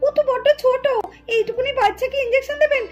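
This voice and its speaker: A woman's voice: very high-pitched, steady tone, fast talker. A woman voicing an anxious, tearful young mother doll in a melodramatic puppet cartoon, wailing and pleading repetitively. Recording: music behind the voice.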